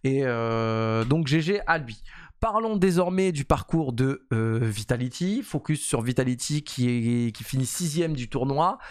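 A man's voice: mid-range voice, lively intonation, measured pace. A man in his thirties casually hosting esports news, chatty and emphatic, with lots of fillers, into a studio mic in a home streaming room. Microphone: studio mic on boom arm.

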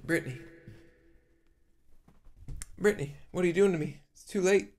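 A young man with a raspy voice, singing along to his own acoustic guitar at a stand mic in a cluttered home music room, then chatting loosely between lines. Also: high-pitched, normal speed, a little mellow. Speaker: live-streaming musician. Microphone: stand mic in front of him.

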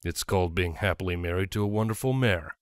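A man's voice: deep voice, fast, clean tone, no room sound, clean studio sound, pitched below the performer's own voice, close to an everyday voice. A man voicing a good-natured male pony guard captain and newlywed husband with a wry, amused manner. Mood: tender.

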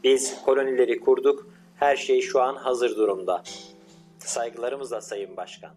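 A man voicing a confident male lead scientist who gives orders to his younger brother in a bossy but warm way. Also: mid-range voice, steady tone, unhurried, soft background music, close to an everyday voice. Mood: commanding.

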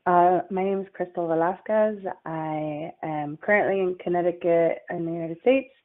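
A young woman from Puerto Rico, a midwifery student, giving a halting recorded class presentation full of uhs and restarts. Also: fairly low pitch. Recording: tinny phone-like audio.

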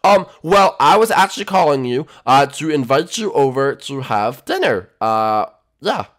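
A man voicing a bossy, scheming young turtle monster boy in an amateur comedy skit, stammering and excitable. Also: medium pitch, a little slow. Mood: eager, nervous.

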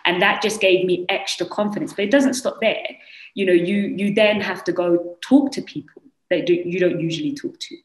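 A young woman with a strong British accent, telling her story as a panelist on a video call, animated and full of "you know"s. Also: fairly low pitch, normal speed, reverberant, poor audio. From United Kingdom. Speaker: panelist, entrepreneur. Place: small room indoors. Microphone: earphone mic on a video call.

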